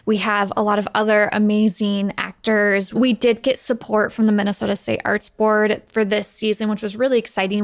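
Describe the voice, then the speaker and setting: An American woman in her thirties with noticeable vocal fry speaks as a podcast guest. Her pitch is average and her delivery is conversational. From Seattle, United States. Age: 30s. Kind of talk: conversational interview.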